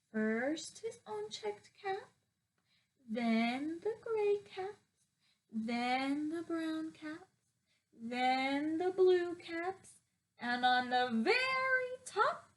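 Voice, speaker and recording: A woman teacher reading aloud to young children, expressive and exaggerating her emphasis. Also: very high-pitched.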